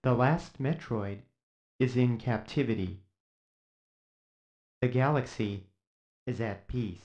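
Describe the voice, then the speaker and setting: A solemn science fiction narrator acted by a man opens a video game. The delivery is slow and halting. The sound is dull and lo-fi, a sample from an old console. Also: medium pitch, darkish tone, close to an everyday voice. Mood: solemn, ominous. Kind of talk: scripted game intro narration.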